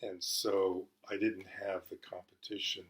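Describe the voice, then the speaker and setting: An older man in his seventies, from California, is interviewed about his school years. His voice sits very low, and he talks in a relaxed, unhurried way with small pauses. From California, USA. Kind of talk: casual reminiscing.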